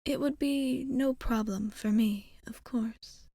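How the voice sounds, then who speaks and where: A woman voicing a gentle, devoted ancient vampire in an ASMR roleplay, speaking formally and tenderly in a soft, breathy voice that often drops to a whisper. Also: on the high side, slow pace, no echo, studio quality. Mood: devoted, protective. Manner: formal, hesitant, tender phrasing.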